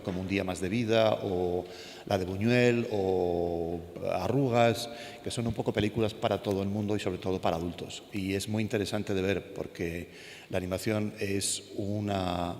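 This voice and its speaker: A man's voice: deep voice, fairly even intonation, normal speed, a little mellow. A man with a Castilian Spanish accent giving an informal talk to an audience, telling anecdotes and putting questions to his listeners. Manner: conversational, puts questions to the audience. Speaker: animator, panel speaker. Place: school event hall with an audience. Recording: echoey hall, some background noise.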